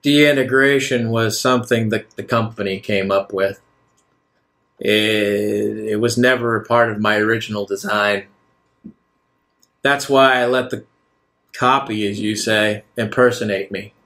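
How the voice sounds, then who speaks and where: A man voicing a self-justifying, measured villain in his nineties, a wealthy recluse, in a tabletop game. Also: on the low side, fairly even intonation, fairly bright tone. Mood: persuasive, calm.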